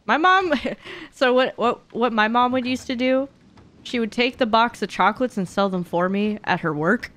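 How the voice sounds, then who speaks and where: A woman's voice: a little high, moderate pace, a bit bright. A young woman chatting casually and playfully as she streams a game. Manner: playful, chatty, breaks into singing and silly sounds. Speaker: streamer playing a horror game. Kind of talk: casual gaming livestream chat. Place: indoor streaming room at home.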